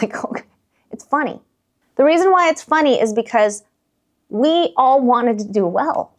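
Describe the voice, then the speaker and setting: Upbeat business show host lady, kinda high voice, laughs at her own stories. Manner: upbeat and self-deprecating, laughs while telling stories; teaches through metaphors, speaks to her listeners directly. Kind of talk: business talk-show monologue.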